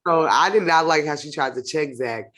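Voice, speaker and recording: A woman with a stuffy nose from a cold, reviewing a TV episode in casual chat, expressive and opinionated. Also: low-pitched, normal speed. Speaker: host of a TV show review channel.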